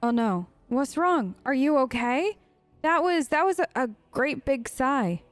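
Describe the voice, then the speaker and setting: A woman's voice: high voice, lively intonation, unhurried, dry recording. A woman hosting a role-play let's play, improvising her character's side of the dialogue in a chatty, conversational way. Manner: chatty, conversational, reacts with questions.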